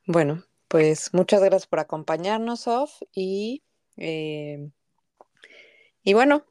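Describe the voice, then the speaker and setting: Host: woman in her 40s, lower voice, smooth, hesitant chatty delivery. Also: animated, slow, a little mellow, dry recording, clean studio sound. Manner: hesitant, with many fillers and laughs. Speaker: podcast host. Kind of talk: casual podcast conversation.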